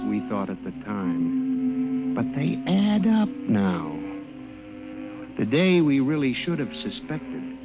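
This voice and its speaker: An actor playing a timid, hesitant middle-aged male clerk who narrates and acts in an old-time radio drama, with an apologetic, quietly resentful manner. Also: medium pitch, lo-fi sound, lightly acted. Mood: haunted, reflective. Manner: hesitant, meek, deferential. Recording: old radio broadcast with music bed.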